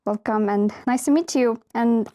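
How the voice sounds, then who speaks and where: A woman's voice: a little high, normal speed, warm tone. A woman hosting an info session, politely welcoming guests and asking questions with some hesitant fillers. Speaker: host.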